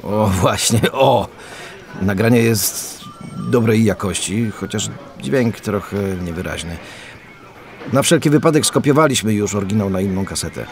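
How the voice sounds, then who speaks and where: A man's voice: fairly low pitch, expressive, normal speed, slight background noise. An actor playing a polite, earnest policeman loyal to his men, speaking formally and hesitantly in a radio drama. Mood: matter-of-fact.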